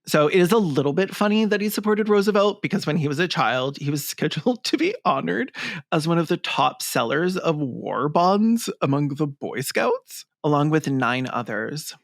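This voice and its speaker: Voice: medium pitch, expressive, moderate pace, creaky, no room sound, clean studio sound. A sarcastic host giving mocking, theatrical comedic commentary in a reaction show.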